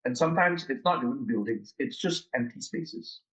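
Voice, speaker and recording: A man in an interview, talking through his research in a halting way, often restarting and repeating words. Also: medium pitch, lively intonation, a bit bright, rough recording. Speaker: researcher guest. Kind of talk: conversational interview.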